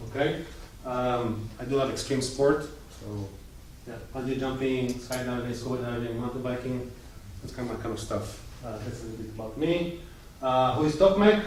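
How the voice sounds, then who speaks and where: A man's voice: mid-range voice, slight background noise. A man with an Israeli accent giving an informal, joking talk as a guest speaker at a coworking space. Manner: informal and joking, short chatty asides. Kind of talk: casual presentation to a small audience. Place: coworking space event room. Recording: echoey room, mic at a distance.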